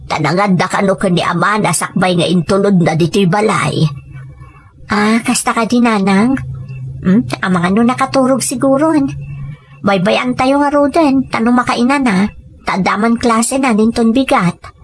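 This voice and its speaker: A woman playing a caring, hard-working mother in an audio drama, with tender, drawn-out phrasing. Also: mid-range voice, a little hiss or hum, lightly acted.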